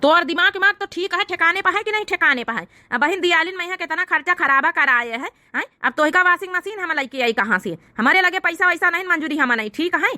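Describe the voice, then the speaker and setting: A woman puts on an exasperated village husband from a cartoon comedy, high-pitched and sharp in tone. The figure scolds, argues and grumbles in an Awadhi accent, recorded close and dry. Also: animated, fast talker, hardly any pauses, no echo, exaggerated voice. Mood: exasperated, scolding. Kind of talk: exaggerated cartoon comedy dialogue.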